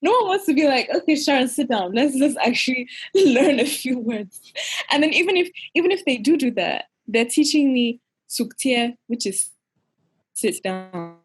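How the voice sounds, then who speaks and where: Breathy young woman, animated podcast guest. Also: high-pitched, normal speed. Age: young adult. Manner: animated, giggly, fillers like "like". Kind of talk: casual interview chat.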